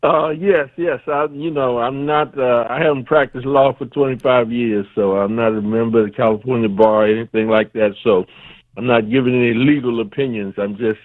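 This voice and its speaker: An older man speaking as a remote guest, measured and cautious in his replies. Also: medium pitch, dry recording. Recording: tinny phone line.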